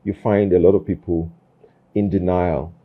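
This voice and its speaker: Deep husky man hosting. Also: fairly even intonation, narrow, tinny audio. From Nigeria. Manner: thoughtful, halting, many fillers and restarts. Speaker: podcast host. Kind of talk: reflective conversational interview.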